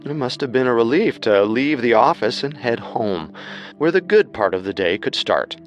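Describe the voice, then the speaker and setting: A man's voice: medium pitch, animated, moderate pace. A man co-hosting a scripted true-crime show as narrator, with music underneath. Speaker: co-host and narrator.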